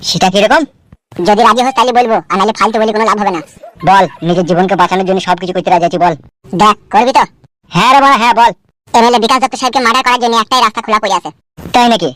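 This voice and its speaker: A man's voice: high voice, very fast, a bit bright. A man voicing a scheming, coarse-talking, nervous village crook in a comedy cartoon. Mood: conspiratorial. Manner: coarse slangy back-and-forth.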